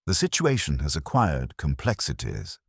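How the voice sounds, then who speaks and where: A man voices a weary small-town mayor in a mystery story. His voice is extremely deep and dry in sound, and he speaks in guarded, formal phrases, defensive and worn down. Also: sing-song, normal speed, breathy edge, no room sound, heavily acted. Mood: weary, chastened.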